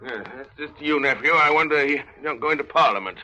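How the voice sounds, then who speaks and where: A cantankerous old skinflint from a vintage radio play. The voice is very bright and hard-edged, grumbling and barking in curt, scornful bursts. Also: male voice, on the high side, fast talker, low-quality recording. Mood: angry. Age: older. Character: miserly, irritable old man, cold and scornful employer. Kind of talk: 1930s radio drama acting. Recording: old 1930s radio broadcast.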